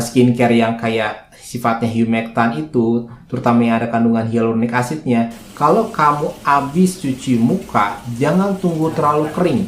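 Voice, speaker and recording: A man with a slightly hoarse voice gives an informal, chatty skincare lesson, asking himself questions and then answering them. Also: mid-range voice. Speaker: skincare educator and video host. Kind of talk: informal explainer to camera. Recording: roomy, echoing indoor sound.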